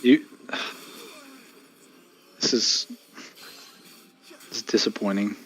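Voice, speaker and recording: A man's voice: on the low side, fairly even intonation, takes it slowly, poor audio. A man bantering over a game stream, teasing his friends and arguing his points. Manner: teasing, argumentative. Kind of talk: casual gaming banter.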